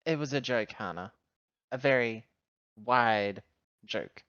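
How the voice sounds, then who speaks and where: A woman's voice: rumbling low, expressive, slow, a bit bright, clean tone, no room sound, dull audio. A woman playing a tabletop roleplaying game in character, with casual, wry, joking banter.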